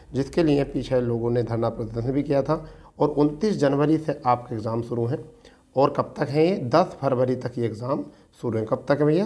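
Male exam-coaching presenter, medium pitch, instructive, reads notices aloud and repeats the key points. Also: a little mellow. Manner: instructive, addresses viewers as friends, repeats points for emphasis. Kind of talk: explanatory exam update, reading a notice aloud and commenting.

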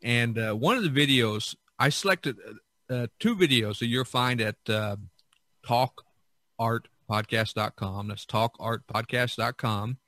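A man hosting a podcast in a conversational, halting, reflective way. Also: medium pitch, sharp tone, no room sound, clean studio sound. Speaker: podcast host.

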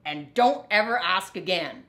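A woman's voice: on the low side, animated, unhurried, pushed and bright, heavily acted. A woman voicing a grumpy, friendless male rat in a storybook, curt and huffy, in a roomy space.

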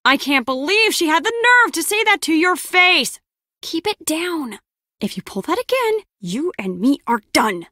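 A woman's voice: high-pitched, sing-song, unhurried, dry recording, clean studio sound. An actress playing a brusque, sarcastic lone-wolf female spy in a video game, with a curt, biting delivery. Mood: indignant, sharp. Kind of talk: scripted game character dialogue.

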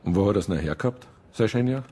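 An actor playing a calm, matter-of-fact police officer in a radio crime drama, measured and inquisitive. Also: male voice, on the low side, slightly rough. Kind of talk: naturalistic German radio crime drama acting.

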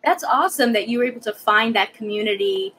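A woman's voice: a little high, animated, fast talker, fairly bright tone, a little hiss or hum. A young woman hosting an interview over a video call, with an upbeat presenter delivery. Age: young adult. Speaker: host. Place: small room indoors. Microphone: earbud headset mic.

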